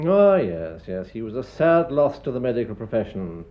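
An actor playing an eminent English physician in a radio drama, formal and authoritative, with a Received Pronunciation accent. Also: male voice, mid-range voice, big pitch swings, dull audio, natural voice. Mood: measured, probing. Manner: measured, formal, authoritative bedside manner. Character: eminent Harley Street physician, smooth, measured, authoritative man. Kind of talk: classic radio drama acting.

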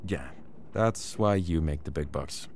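A man's voice: a bass voice, measured pace, noisy background. A man acting in an audio drama as an injured hiker, nervous, sarcastic and prone to swearing. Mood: wary. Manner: stammering, animated, sarcastic.

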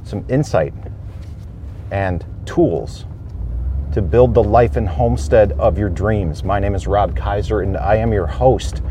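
A man hosting a homestead podcast, talking thoughtfully with pauses and fillers. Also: on the low side, dark tone, a little hiss or hum. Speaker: podcast host. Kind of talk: casual solo podcast talk. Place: on a homestead farm.

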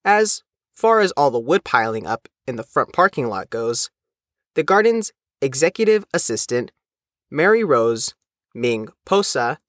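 A man's voice: mid-range voice, sing-song, unhurried, fairly bright tone, no echo, clean studio sound. A man reading a scripted news report as a reporter.

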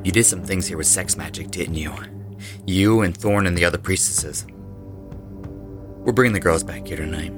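A man's voice: low-pitched, moderate pace, pauses often, lightly acted. An actor playing a cocky, intense young man who leads a rock band, in a horror audio drama. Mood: curious, uneasy. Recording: voice over prominent background music.

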